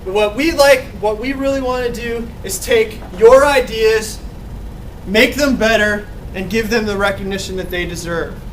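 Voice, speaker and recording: A man giving a group slide presentation with an energetic, repetitive emphasis, recorded from a distance. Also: high-pitched, moderate pace. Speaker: student presenter. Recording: distant, with faint noise.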